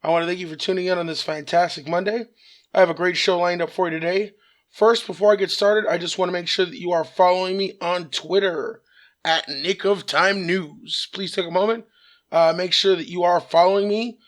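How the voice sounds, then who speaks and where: Raspy-voiced man hosting a news show. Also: high voice, steady tone, a bit bright. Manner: earnest, measured, repeated apologies and fillers. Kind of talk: solo news commentary.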